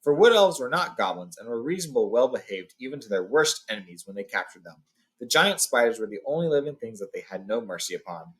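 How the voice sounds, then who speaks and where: A man's voice: medium pitch, big pitch swings, quick pace, rough recording. A man hosting a read-along, narrating a fantasy storybook with informal recaps.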